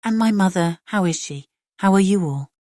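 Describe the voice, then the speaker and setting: A woman's voice: medium pitch, normal speed, darkish tone, dry recording, clean studio sound, natural voice. A woman voicing a concerned, affectionate young lady in an audiobook, with an anxious, direct manner. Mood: anxious, caring. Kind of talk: dramatized audiobook character reading.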